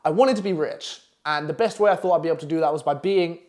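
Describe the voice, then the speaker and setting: Brash, fast-talking Essex man. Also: a little high, darkish tone. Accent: Essex English. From England. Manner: brash, profane, pushy. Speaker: copywriting coach. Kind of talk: online masterclass presentation. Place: London flat.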